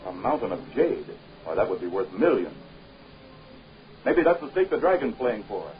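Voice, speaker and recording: An actor playing a young, confident American adventure hero in a 1930s film serial, speaking in a direct, matter-of-fact way. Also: male voice, lowish voice, big pitch swings, moderate pace, slight background noise. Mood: brisk, interested. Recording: dull 1930s film soundtrack, faint music.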